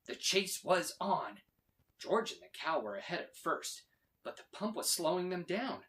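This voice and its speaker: A man reading a children's story aloud with expressive, dramatic emphasis. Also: sits high, measured pace. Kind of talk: animated read-aloud narration for children.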